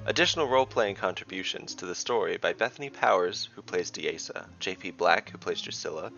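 A man with a fairly low, bright voice narrates and referees a fantasy game. His delivery has a gravelly creak at the edges, and he sounds like the one steering the tale. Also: lively intonation, fairly quick, muffled recording, soft background music. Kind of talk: scripted story narration and tabletop game refereeing.

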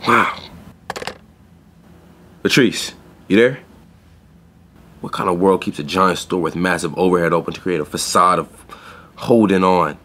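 An actor playing an earnest, deadpan young pet store clerk in a podcast play. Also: male voice, deep voice, a little slow, long pauses, a little hiss or hum. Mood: earnest, reassuring. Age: young adult.

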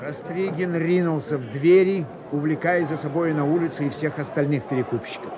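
Expressive male narrator, slightly high voice. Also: brisk. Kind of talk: dramatised literary narration, Soviet radio theatre. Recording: 1980 radio play, crowd behind.

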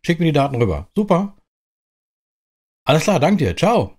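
A man's voice: on the high side, sing-song, normal speed, darkish tone. A middle-aged man from Germany giving chatty, colloquial commentary as host of a truck driving game. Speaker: host of a truck simulator roleplay series. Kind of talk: casual gameplay commentary in character. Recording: clear vehicle noise behind the voice.

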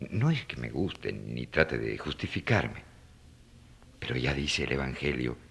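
A resolute, pious young son in a radio play. The voice is extremely low and husky. He speaks with earnest respect and swinging intonation. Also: a bit bright, heavily acted. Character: devout, resolute young son renouncing wealth. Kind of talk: radio drama acting.

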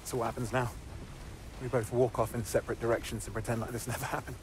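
An actor playing a young, conflicted Imperial soldier in a video game, questioning with intensity. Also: male voice, medium pitch, flat delivery, fast talker, noisy background, close to an everyday voice. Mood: conflicted, urgent. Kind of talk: video game cutscene acting.

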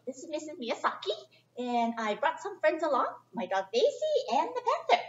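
A middle-aged woman doing a chatty, expressive children's read-aloud with informal asides, speaking in simple phrases for young listeners. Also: high-pitched, moderate pace. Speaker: storyteller reading a picture book to children. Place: indoor library children's area. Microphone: distant camera microphone. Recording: echoey room, distant muffled camera sound.

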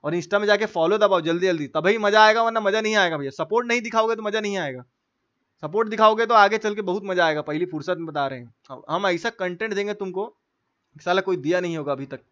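A man's voice: sits high, quick pace, a bit bright, dull audio. A young man from India chatting casually as a gaming livestreamer, informal and slangy as he coaxes his viewers.

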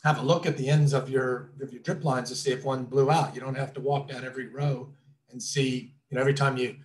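A man's voice: mid-range voice, steady tone, fairly quick, low-quality recording. A middle-aged man explaining things informally as a trainer in an online webinar, in a casual, loosely worded way, recorded indoors over a video call. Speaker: drone trainer presenting a webinar. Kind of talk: informal instructional presentation over slides.

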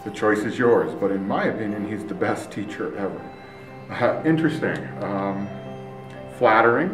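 A man's voice: on the low side, normal speed. A middle-aged male teacher reading reviews aloud and reacting casually, recorded in a small office from a few feet away. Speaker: Spanish teacher. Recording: roomy, distant mic, faint music.

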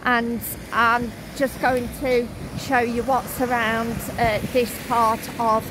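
A woman in her thirties walking city streets and pointing out shops and sights, talking haltingly with many ums and uhs into a phone camera held at arm's length. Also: fairly high pitch, flat delivery. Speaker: travel vlogger. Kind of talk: walk-and-talk vlog narration. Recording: street noise behind the voice. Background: traffic and street ambience.

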